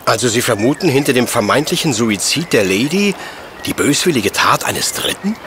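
Radio play detective professor, played by a man: formal old-world phrasing, condescending precision, highly varied mid-range voice. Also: big pitch swings, moderate pace, background noise, close to an everyday voice. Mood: patient, condescending. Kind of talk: German radio play acting.

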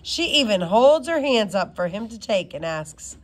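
A woman reading a children's novel aloud as an expressive storyteller. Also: medium pitch, normal speed. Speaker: reader.

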